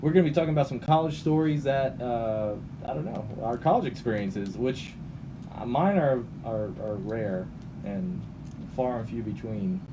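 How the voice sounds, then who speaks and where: A man's voice: mid-range voice, animated, normal speed. A man hosting a radio show with casual, jokey and teasing banter. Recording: noisy room, distant mic.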